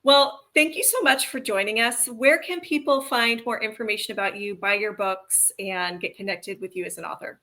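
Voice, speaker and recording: A woman's voice: mid-range voice, moderate pace, few pauses, bright, forceful tone. A woman hosting a casual podcast interview, responding in an effusive, affirming way. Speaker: podcast host.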